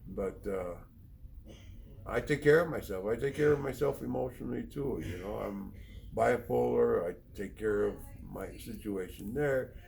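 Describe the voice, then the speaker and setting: A middle-aged or older man from Poland, sharing personal testimony in a plain-spoken, halting, rambling way as a recovery speaker. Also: mid-range voice, gravelly, a little mellow, a little hiss or hum. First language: Polish. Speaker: recovery speaker, treatment counselor.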